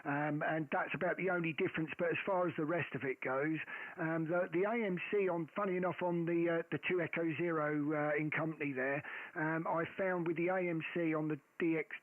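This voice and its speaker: A man with a loud, carrying voice rambles happily about his radio settings, full of ums and restarts. His voice sits a little high and very dry, pressed close to the mic. It all comes through a narrow, phone-like radio band. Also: fairly even intonation, fairly quick, no echo. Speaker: amateur radio operator. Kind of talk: casual radio chat. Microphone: boom mic close to the face.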